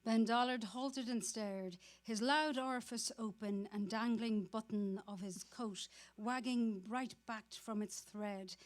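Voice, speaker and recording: A woman's voice: on the high side, takes it slowly, few pauses. A woman narrating a novel aloud as part of a staged dramatic reading.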